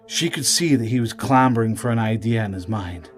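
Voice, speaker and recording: Man, scripted story narration, dramatic, lowish pitch, soft music underneath. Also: brisk.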